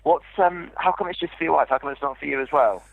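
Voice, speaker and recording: A man's voice: a little high, fast talker, vocal fry, no echo. A man working as an insurance call handler, patiently explaining things over a tinny phone line. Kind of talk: customer service phone conversation.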